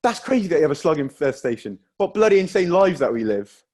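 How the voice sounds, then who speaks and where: Young man from Wales, stand-up comedian, slightly high voice, self-deprecating, chuckling delivery, video call audio. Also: sing-song, quick pace, darkish tone. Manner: self-deprecating, laughing through his jokes. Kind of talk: stand-up set.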